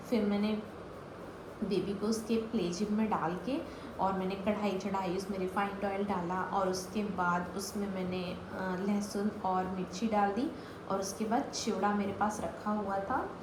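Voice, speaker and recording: A young woman from eastern Uttar Pradesh chatting casually through an audio diary of her day, mixing in English words. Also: mid-range voice. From eastern Uttar Pradesh, India. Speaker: vlogger. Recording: roomy echo, clear background noise.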